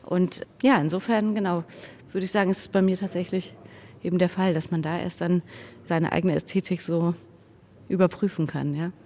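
A woman's voice: lowish voice, steady tone, normal speed, warm tone. A woman radio drama director giving a reflective interview, thinking aloud and correcting herself as she goes. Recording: clearly noisy background.

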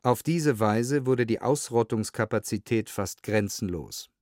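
A man reading historical documents as scripted audio narration, in a measured, sober and precisely enunciated way. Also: on the low side, a little mellow, no room sound, studio quality. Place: dry studio.

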